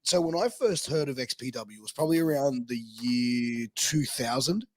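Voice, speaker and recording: A man with an Australian accent co-hosting a podcast. His voice is hoarse and raspy, shot from ring announcing, and he talks earnestly and tells stories. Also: mid-range voice, animated, normal speed, no echo. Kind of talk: casual podcast chat.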